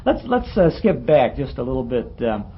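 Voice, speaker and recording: An American man hosting a casual, nostalgic interview, with halting, hesitant phrasing. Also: mid-range voice, very animated. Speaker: radio interviewer and host. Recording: muffled, noisy archival radio interview.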